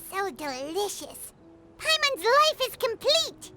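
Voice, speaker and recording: A woman voicing a small, greedy, cheeky fairy companion in a game, squeaky and childlike, speaking in exclamations. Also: sing-song, very slow, full character voice. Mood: cheerful. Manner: speaks of self in third person, exclamatory. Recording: loud background music under voice.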